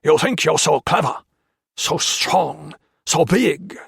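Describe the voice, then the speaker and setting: A menacing wartime camp officer snarls his threats, voiced by a male narrator. The voice is pushed high and gravelly, with a husky edge. Recorded close and dry, like a studio audiobook. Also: takes it slowly, fairly bright tone, no echo, exaggerated voice. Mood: snarling, menacing.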